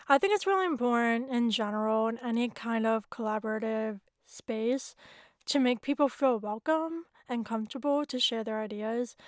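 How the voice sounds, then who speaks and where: A woman's voice: a little high, no room sound, studio quality. A woman hosting a songwriting podcast, chatting with her guest in a friendly, agreeing way. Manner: friendly, agreeing, laughs often. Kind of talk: casual interview chat.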